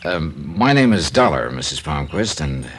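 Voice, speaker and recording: A man's voice: rumbling low, sing-song, normal speed, slight background noise. An American man playing a wry, world-weary insurance investigator in a 1950s radio drama, telling his story in dry, clipped first person. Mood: gentle, awkward. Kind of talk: 1950s radio detective narration and acting. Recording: old radio drama recording with music.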